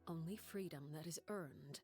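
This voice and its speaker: A woman voicing a stern, composed leader with quiet sorrow in a video game, speaking in a measured, solemn, hushed way. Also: sits low. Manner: measured, solemn, often near-whispered delivery. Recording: music behind the voice.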